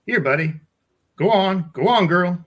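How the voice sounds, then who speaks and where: A man acts out an Old West stable hand in an amateur radio drama, haggling over horses. The voice sits fairly high for a man and sounds a little off the microphone. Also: lively intonation, unhurried. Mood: folksy, bargaining. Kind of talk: amateur radio play acting.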